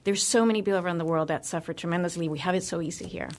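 A woman's voice: fairly low pitch, racing. A woman with a Cuban American accent, speaking passionately as a guest in a radio interview. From United States. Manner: passionate, emphatic. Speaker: guest, religious freedom advocate.

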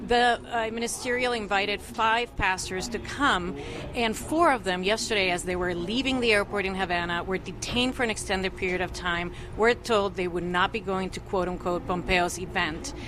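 A woman's voice: medium pitch, moderate pace. Earnest woman commissioner over background noise. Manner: earnest, fluent advocacy. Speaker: religious freedom commissioner. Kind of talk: news interview guest.

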